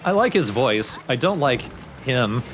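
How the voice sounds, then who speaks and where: A man's voice: medium pitch, very animated, measured pace, noisy background. A man giving casual, explanatory let's play commentary on a video game. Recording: game music behind commentary.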